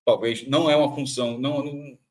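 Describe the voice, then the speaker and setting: A Brazilian man analyzing football on a panel show, animated and full of restarts and repeated phrases. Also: medium pitch, fast talker. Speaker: football commentator. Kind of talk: sports analysis panel chat.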